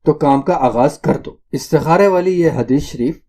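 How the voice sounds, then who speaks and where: A man's voice: on the high side, a little mellow. A man delivering an Islamic religious lecture in a didactic, emphatic way, repeating points for stress. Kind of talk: Islamic sermon lecture addressed to listeners.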